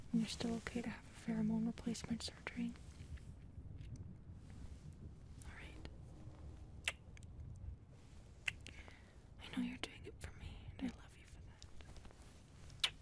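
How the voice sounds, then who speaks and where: A woman playing a big, affectionate alien in an intimate audio roleplay, soft, breathy and playful, talking closely to her partner. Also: fairly high pitch, natural voice. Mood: tender, sleepy. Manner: soft, breathy, playful, often dropping to whispers.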